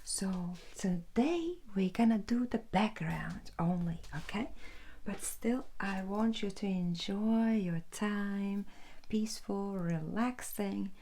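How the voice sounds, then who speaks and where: A woman in her thirties speaking in a hushed, halting whisper as an ASMR host. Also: medium pitch, a little hiss or hum. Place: indoor room at home with brick wall.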